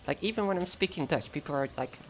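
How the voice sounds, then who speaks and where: Woman with a very low, gravelly voice. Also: animated, fast talker, soft background music. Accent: American-sounding English. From Germany. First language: German. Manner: casual, chatty, laughs often. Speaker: speedrunner. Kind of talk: live gameplay commentary.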